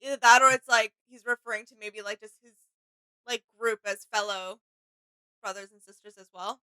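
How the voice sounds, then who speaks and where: Female co-host: crisp bright tone, slightly high, agreeable, casual, dry close sound. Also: normal speed, dry recording, poor audio. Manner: agreeable, brief interjections. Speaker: reaction video co-host. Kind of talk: casual reaction chat.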